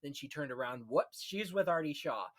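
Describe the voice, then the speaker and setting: A man's voice: a little high, lively intonation, normal speed. A man hosting a casual film history chat, telling anecdotes in a gossipy, conversational way. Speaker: podcast host.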